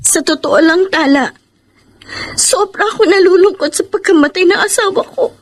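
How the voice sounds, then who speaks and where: A woman's voice: extremely high pitch, normal speed. A woman acting an anxious, emotional, guilt-ridden woman in a Filipino radio drama. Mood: sad, guilty. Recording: music behind the voice.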